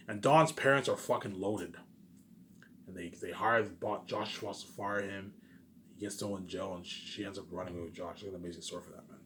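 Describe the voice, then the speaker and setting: A man's voice: on the low side, fairly bright tone, a little hiss or hum. A man in a rambling casual monologue, boastful and repetitive, with emphatic bursts that trail off. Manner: boastful, self-assured.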